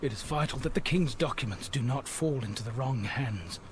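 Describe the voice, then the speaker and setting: An actor playing a grave, loyal royal soldier in a fantasy video game, speaking formally and earnestly. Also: male voice, mid-range voice, moderate pace, echoey room, lightly acted. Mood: solemn urgency.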